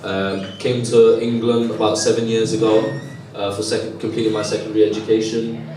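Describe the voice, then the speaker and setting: Soft-spoken young man in echoey room. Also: fairly low pitch, little pitch movement, distant mic, background noise. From Lebanon. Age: young adult. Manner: halting, many fillers, earnest. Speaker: student organiser. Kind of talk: casual interview answers.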